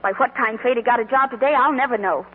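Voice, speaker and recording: A woman's voice: on the high side, fast, slightly rough, phone-quality sound. An actress playing an earnest, big-hearted young shopgirl in a 1940s radio drama, on an old broadcast recording. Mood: impassioned, pleading.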